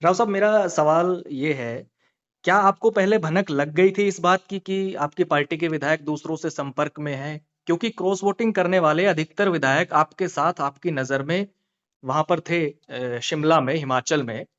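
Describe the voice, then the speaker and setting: A male journalist with a slightly high-pitched voice conducts a political interview on a dull call recording. He presses his questions persistently, restating them in new words. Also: moderate pace, a bit bright.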